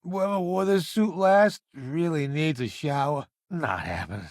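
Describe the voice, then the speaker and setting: A man's voice: a little high, lively intonation, no echo, clean studio sound. A man playing a vain, flamboyant columnist turned space crewmate in a video game, with a wry, theatrical, smug delivery. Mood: disdainful grumbling. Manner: theatrical, wry, smug banter with drawn-out emphasis. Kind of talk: video game companion dialogue.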